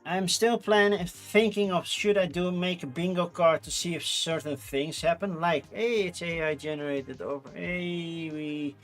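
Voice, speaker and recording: A Dutch-accented man hosting his own channel with a somewhat high voice. He chats casually and rambles, with fillers and quick self-corrections. Faint music plays underneath. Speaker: channel host. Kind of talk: casual solo chat to camera.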